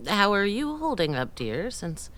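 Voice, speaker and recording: A woman acting a caring, weary, stoical caregiver in naturalistic drama dialogue, gentle and measured, using endearments. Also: medium pitch, big pitch swings.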